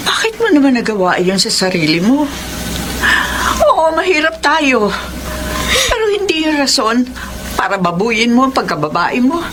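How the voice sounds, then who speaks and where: Feisty Filipino mother, swooping high voice. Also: very animated, normal speed, noisy background. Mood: reproachful. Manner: emphatic, emotional delivery. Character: feisty, protective, hot-tempered middle-aged mother. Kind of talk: Filipino radio drama acting.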